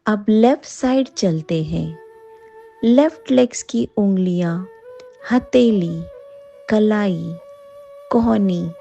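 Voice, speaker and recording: A woman with an Indian accent leading a guided yoga nidra meditation in a soothing, unhurried manner, leaving long pauses between phrases. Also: mid-range voice, vocal fry, warm tone, dull audio, soft background music.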